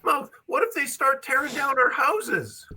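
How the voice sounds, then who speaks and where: An amateur reader playing an anxious, pleading young kid adventurer with a raspy voice in a script table read. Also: high-pitched, lively intonation, unhurried, bright, forceful tone, full character voice. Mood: worried.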